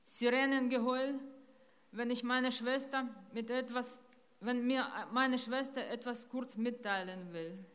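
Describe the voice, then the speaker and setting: Slow, grave woman giving a speech. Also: a little high, steady tone, a bit bright, long reverb tail. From Ukraine. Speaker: rally speaker. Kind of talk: public speech. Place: outdoors in a town centre.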